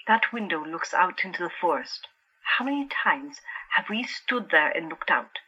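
A woman's voice: fairly low pitch, lively intonation, moderate pace, pushed and bright, breathy edge, dull audio, heavily acted. A woman playing a troubled, resigned young female asylum patient and artist in an audio drama. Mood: resigned, insistent.

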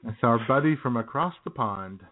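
A man's voice: mid-range voice, moderate pace. Joking man on a tinny phone line. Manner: jokey, deadpan, laughs at his own lines. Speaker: co-host of an internet radio show. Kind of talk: casual banter on a call-in radio show. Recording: tinny phone-line internet radio audio.

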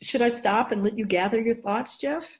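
A woman's voice: a little high, normal speed, lightly acted. A woman playing an assured immortal keeper of souls in a radio drama, explaining things in a composed, formal, didactic way. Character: feminine-voiced immortal spirit keeper of souls, assured and instructive.

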